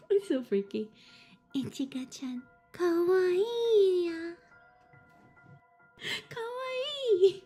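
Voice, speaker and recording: A woman's voice: very high voice, expressive, very slow, long pauses, dark tone, faint music behind. A woman streaming a horror visual novel, reading the on-screen text aloud between giggles and startled swearing. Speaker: streamer playing a horror game.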